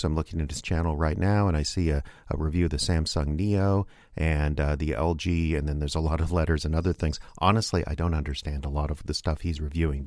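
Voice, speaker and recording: A man's voice: sits low, darkish tone, dry recording, studio quality. A man hosting a radio drama show, casually rambling through promotional plugs with frequent fillers. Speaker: show producer and host.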